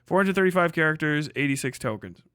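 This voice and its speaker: Male stream host, casual reaction commentary: high-pitched, dry close sound, sardonic and foul-mouthed. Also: lively intonation, fast talker, bright, forceful tone, smooth, no echo. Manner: sweary, exasperated, mocking. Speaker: livestream host.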